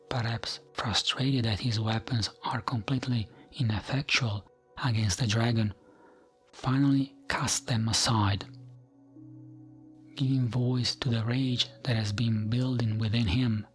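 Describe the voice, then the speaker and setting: A man narrating fantasy lore as soothing ASMR, in a gentle, hushed voice that often slips into a whisper, with music behind him. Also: mid-range voice.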